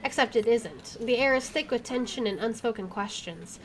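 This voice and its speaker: A woman's voice: fairly high pitch, moderate pace, crowd noise, low-quality recording. A woman doing playthrough commentary and reading game text aloud, with a playful, wisecracking manner. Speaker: video game let's player.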